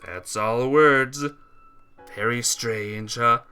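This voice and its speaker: A man's voice: mid-range voice, drawn-out words, sharp tone, clear, resonant tone, faint music behind. A man casually chatting and reading while playing a visual novel, joking and rambling in a self-mocking way. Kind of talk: casual let's-play chat and reading aloud.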